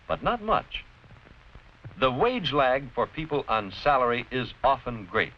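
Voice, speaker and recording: On a muffled, slightly noisy vintage classroom film soundtrack, an American man narrates from a script. His mid-pitched voice moves with animated intonation. He explains each point in a deliberate, instructive way. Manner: didactic, deliberate, clearly explanatory. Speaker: narrator-presenter of an educational film.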